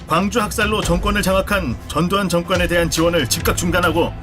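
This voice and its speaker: Guy voicing young student activist, fairly high voice, plain steady lines, music under it. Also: fast, natural voice. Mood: defiant. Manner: plain declarative speech. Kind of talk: radio drama acting. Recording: music behind.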